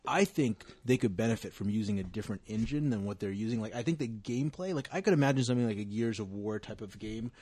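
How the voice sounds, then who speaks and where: Quick, husky man, eager panel talker. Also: on the low side, animated, a little mellow, no room sound. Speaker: podcast panelist. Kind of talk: casual group chat about video games.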